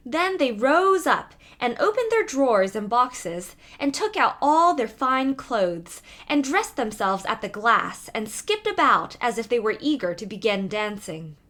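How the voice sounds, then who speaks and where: A woman's voice: on the high side, very animated, a little slow, hardly any pauses, a bit bright. A woman reading a fairy tale aloud as a storybook narrator.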